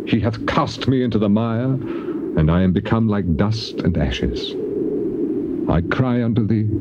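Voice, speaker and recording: On a dull, hissy old tape, a grieving, righteous man of ancient scripture. His solemn, declamatory voice sits low and swings widely in pitch as he laments and protests his suffering with classical stage intensity. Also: expressive, measured pace. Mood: anguished lament. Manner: solemn, declamatory, impassioned rhetorical delivery. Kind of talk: dramatized scripture reading, classical stage acting. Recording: dull, noisy old cassette tape recording.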